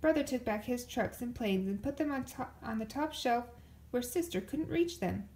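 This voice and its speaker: Higher-voiced woman reading, slightly creaky. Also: animated, moderate pace, a little mellow. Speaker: storybook reader. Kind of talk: expressive children's story narration.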